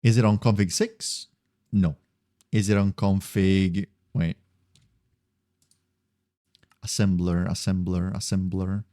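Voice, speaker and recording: A man's voice: deep voice, little pitch movement, slow pace, long pauses, mellow, no room sound, studio quality. A man chatting casually on a game livestream, thinking aloud in a rambling, repetitive way. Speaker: game streamer. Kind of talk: casual livestream chat while gaming.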